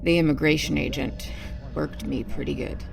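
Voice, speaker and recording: Casting: tough, guarded young woman for sci-fi audio drama. Heavy vocal fry, terse, self-assured, set over loud music. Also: deep voice, fairly even intonation, moderate pace. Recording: loud music under dialogue.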